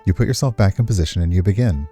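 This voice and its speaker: A man's voice: deep voice, animated, very fast, warm tone, soft background music. A man reading visual novel text aloud expressively as a game streamer, slipping into casual commentary.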